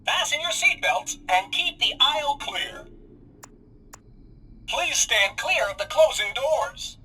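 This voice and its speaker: A cheerful robot bus driver from a video game, with a bright, raspy mechanical voice. The pitch swoops up and down as it chirps out slow, sing-song public announcements. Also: male voice, lively intonation, soft background music, exaggerated voice. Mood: cheerful, announcing. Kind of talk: video game character voice lines.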